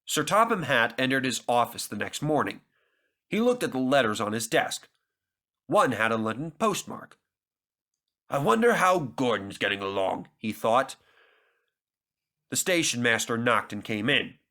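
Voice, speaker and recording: A man's voice: medium pitch, big pitch swings, normal speed, long pauses, sharp tone. A man reading scripted children's stories as narrator.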